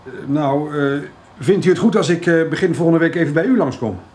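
A man's voice: fairly high pitch, brisk, a little mellow, lightly acted. Hesitant, curt farmer, played by a man. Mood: awkward. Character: reticent, awkward male farmer with money worries. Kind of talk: naturalistic radio drama.

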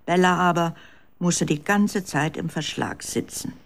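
A woman's voice: on the low side, measured pace, gravelly. Woman narrating a children's radio play.

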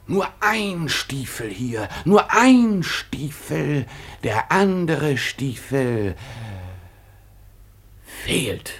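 An eager young detective in a 1950s radio play. His husky, fairly high voice leaps about as he pedantically declaims each deduction, full of self-importance and suspicion. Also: big pitch swings, drawn-out words, pauses often, a bit bright, exaggerated voice. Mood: triumphant. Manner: dramatic, emphatic, declaiming deductions.

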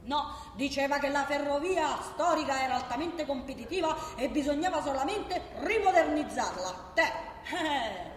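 An actress plays a working-class woman with a Roman accent, high in pitch and full of opinions. She chats emphatically, throwing out rhetorical questions in radio-theatre style. The recording rings in a noisy, reverberant hall. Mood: indignant, confiding. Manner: conversational, emphatic, rhetorical questioning, repeats herself. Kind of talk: colloquial theatrical monologue, radio drama.

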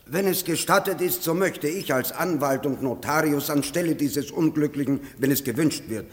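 Guy acting a slimy pompous lawyer, high voice, insinuating, lots of hall reverb, radio play. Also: normal speed, lightly acted. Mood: smug, insinuating. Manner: pompous, unctuous, pointed. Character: slick, insinuating, self-important defence lawyer. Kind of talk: theatrical German radio play. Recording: long reverberant hall sound.

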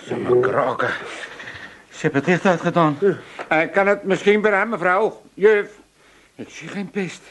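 A man's voice: high-pitched, unhurried, long pauses, a bit bright. An actor playing a cheeky, grumbling working-class man who butts in colloquially in a comic radio play. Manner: muttering, interjecting, colloquial.

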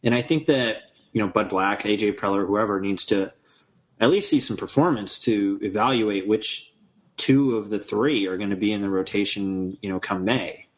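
A man co-hosting a casual sports podcast, opinionated and quick to jump in. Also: on the low side. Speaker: podcast co-host. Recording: dull lo-fi podcast audio.

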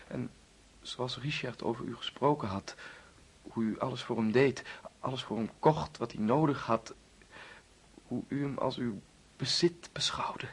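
Anguished young man in a radio play, rough unclear voice, guilty confession, stumbles over words, intense. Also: mid-range voice. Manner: halting, repeating words, intense, accusing outbursts. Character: anguished young man confessing to causing his friend's death. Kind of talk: radio drama acting.

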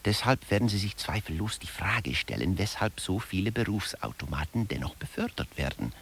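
Very deep gravelly male lecturer. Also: very animated, brisk, a bit bright, heavily acted. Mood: dry, explaining. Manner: dry, didactic, addresses listeners directly. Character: male management theorist lecturing wryly on workplace incompetence. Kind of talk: scripted satirical lecture in a radio play.

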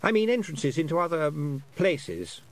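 A man's voice: fairly high pitch, big pitch swings, normal speed, voice pushed up. A man reading aloud, voicing an anxious, chatty twelve-year-old boy who rambles and hesitates.

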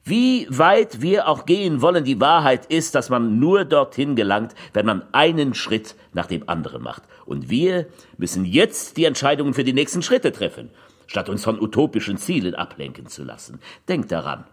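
An actor playing an earnest, insistent political orator in a theatrical radio collage, with emphatic rhetorical pauses. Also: male voice, medium pitch, sing-song. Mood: measured, insistent.

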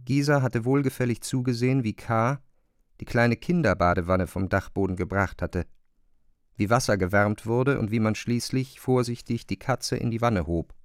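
A man's voice: medium pitch, normal speed, smooth, no echo, clean studio sound. A man reading literary narration for a radio play in pronunciation.

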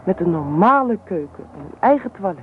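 An actress playing a hurt, practical young wife in a radio play, speaking in a plaintive, reproachful way. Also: female voice, fairly low pitch, big pitch swings, a little fast. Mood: pleading, longing. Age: young adult. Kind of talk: Dutch radio play acting. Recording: noisy, thin, phone-like old radio recording.

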